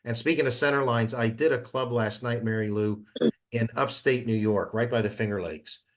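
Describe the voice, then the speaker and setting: A man chatting casually in an online meeting, talking in a halting way with repeated words. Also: medium pitch, fairly even intonation. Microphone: teleconference call.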